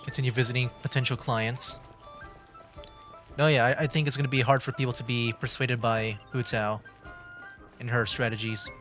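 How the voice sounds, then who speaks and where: A man's voice: mid-range voice, flat delivery, normal speed, long pauses, fairly bright tone. Man streaming casually over faint music. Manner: rambling, amused, given to chuckling. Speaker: livestreamer. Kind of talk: casual gameplay commentary. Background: faint game music.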